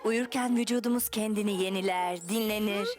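A woman playing a gentle, patient mother in a children's cartoon, nurturing and expressive as she explains things. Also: medium pitch, brisk, music in the background, lightly acted. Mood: gentle explaining.

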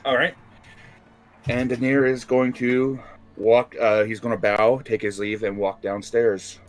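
A man's voice: medium pitch, flat delivery, a little slow. A man playing a tabletop role-playing game, narrating what his character does. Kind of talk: narrating own character's actions. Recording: music behind the voice.

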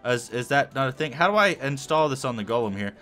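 Young male let's-player, bright and animated, a little hoarse, rambling commentary. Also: medium pitch, fast talker, soft background music. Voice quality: hoarse-sounding. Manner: rambling, thinking aloud with many fillers. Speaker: Minecraft let's play host. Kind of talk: casual gameplay commentary.

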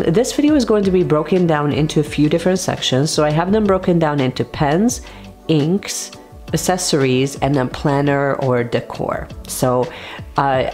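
A woman with a very deep voice for her sex talks casually through her favourite items as host of a stationery channel. Her delivery is chatty and conversational, and her voice is still recovering after she lost it. Music plays behind her. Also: darkish tone. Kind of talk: casual product showcase to camera.

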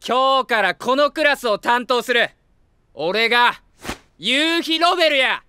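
Bright Kansai schoolteacher. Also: male voice, extremely high pitch, lively intonation, measured pace, smooth, heavily acted. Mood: commanding. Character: exasperated male new high school teacher. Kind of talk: audio drama acting.

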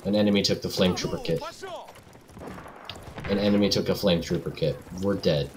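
A young man gaming and joking over voice chat in deadpan, mock-offended banter. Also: deep voice, normal speed, crowd noise. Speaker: gamer. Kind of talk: casual voice chat while playing.

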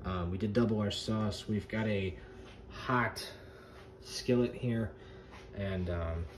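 A young man with a very low voice talks through his cooking in a home kitchen, loose and rambling, full of ums and "you know". The camera catches him from a distance over steady background noise. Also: takes it slowly. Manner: relaxed, rambling, many fillers. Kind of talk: casual cooking commentary.

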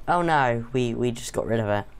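Young man, slightly hoarse, playful teasing co-host, casual gaming banter. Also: mid-range voice, animated, fairly quick. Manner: chatty, teasing, hesitant with frequent ums. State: sore throat, a bit croaky. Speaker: co-host playing a game with his father.

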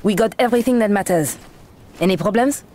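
A woman's voice: medium pitch, fairly quick, background noise, close to an everyday voice. A woman voicing an earnest, commanding resistance leader with a French accent in a video game. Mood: brisk. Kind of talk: video game cutscene acting.

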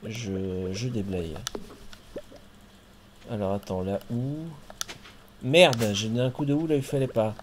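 Male streamer, chatty and playful, cusses lightly, relaxed gaming talk. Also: fairly low pitch, measured pace, pauses often, background noise. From France. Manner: chatty, jokey, playful swearing. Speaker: streamer and host. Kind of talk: casual chat while playing a farming game.

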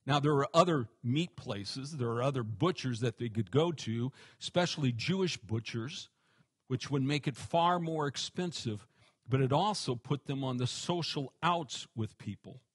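Middle-aged pastor guy preaching on a headset mic, kinda husky, pretty dry sound, gets loud to make a point. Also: mid-range voice, normal speed, no echo. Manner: animated, gestures a lot, varies loudness for emphasis. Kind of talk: expository sermon teaching.

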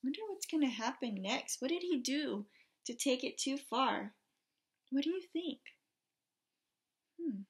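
A woman in her thirties, a teacher reading a picture book aloud expressively, pausing to exclaim and put questions to children. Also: high-pitched, expressive. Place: small indoor room with bulletin board.

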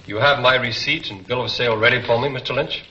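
A man's voice: medium pitch, steady tone, fast, pushed and bright. A man playing a stern foreign government agent in a 1940s film serial, curt and formal. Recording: dull, hissy 1940s film soundtrack.

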